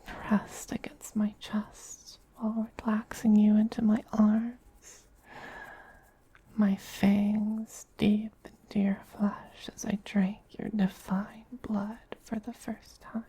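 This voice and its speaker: A man voicing a doting, possessive femboy vampire queen in a soft, breathy whisper, slow, tender and gently commanding, as in an ASMR roleplay. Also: high voice, little pitch movement, full character voice, low-quality recording. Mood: indulgent, intimate.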